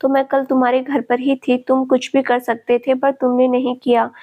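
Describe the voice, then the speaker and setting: Woman doing a snappy blunt teen girl, curt, high flat voice. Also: moderate pace. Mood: irritated. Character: blunt, easily irritated nineteen-year-old girl.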